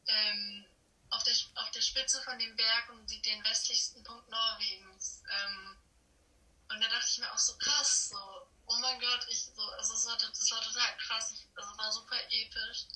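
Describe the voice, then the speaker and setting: Teen girl from germany, sharp bright voice, chatty exchange student, a bit far from mic on echoey call. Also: on the high side, steady tone, normal speed. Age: teens. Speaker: exchange student being interviewed. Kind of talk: casual chat. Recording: echoey, distant phone or video call.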